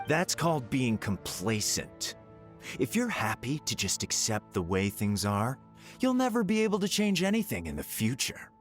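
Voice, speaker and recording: A man's voice: mid-range voice, sing-song, normal speed, soft background music, natural voice. Man voicing a grumbling, proud rich businessman. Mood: indignant. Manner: grumbling, indignant. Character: wealthy middle-aged male entrepreneur, impatient and proud. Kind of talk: video game voice acting.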